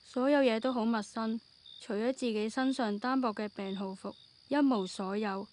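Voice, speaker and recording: A woman's voice: a little high, fairly even intonation, normal speed, darkish tone, a little hiss or hum. A woman, reading scripted narration for an audio drama.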